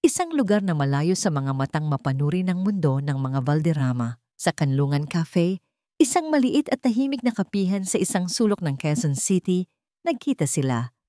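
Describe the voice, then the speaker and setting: A woman narrating a scripted drama story in an even, deliberate storytelling manner. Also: fairly low pitch, no room sound, studio quality.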